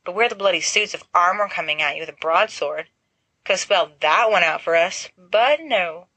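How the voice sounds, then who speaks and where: A woman puts on a melodramatic teenage boy wizard for a storybook, bright and dry in sound. He grumbles indignantly, forever complaining in a dramatic way. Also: lowish voice, lively intonation, moderate pace, slightly rough, no room sound, full character voice. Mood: muttering.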